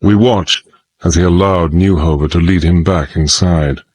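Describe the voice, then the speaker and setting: An actor playing a resolute middle-aged gentleman adventurer, narrating his exploits in measured, formal, old-fashioned phrasing. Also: deep voice, fairly even intonation, breathy edge, no echo. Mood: grave, reflective. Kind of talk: dramatised first-person narration and dialogue.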